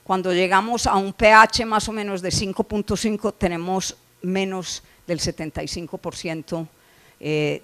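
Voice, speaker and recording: A woman's voice: fairly low pitch, fairly bright tone. A middle-aged woman from Colombia with a Colombian accent, giving a conference lecture on poultry nutrition, explaining slides with frequent "eh" fillers and restarts. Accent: Colombian Spanish. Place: conference hall.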